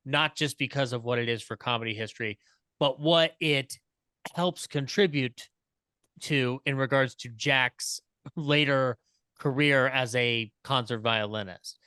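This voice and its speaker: A man with a bright, smooth and crisp voice speaks as a panelist in a dry, close sound. He is earnest and analytical, explaining details in a casual enthusiast's way. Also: medium pitch, no echo. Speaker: panelist and podcaster. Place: online video call panel.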